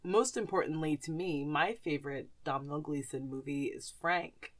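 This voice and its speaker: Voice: medium pitch, moderate pace, a bit bright. A woman podcast host chatting casually and informally about films and actors, with some slang.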